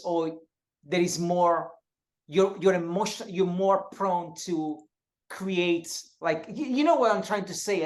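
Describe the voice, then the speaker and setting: A man with an Italian accent, a native Italian speaker, hosting an interview and asking questions in a halting way full of fillers. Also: fairly high pitch. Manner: halting, many fillers and restarts. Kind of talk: casual interview.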